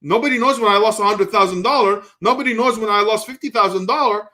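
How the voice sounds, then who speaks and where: A man's voice: high-pitched, quick pace, pushed and bright. A man in his thirties, originally from Kuwait, hosting a live question-and-answer stream and talking loosely, with frequent restarts as he thinks aloud. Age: 30s. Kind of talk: casual live stream Q&A, reading viewer comments.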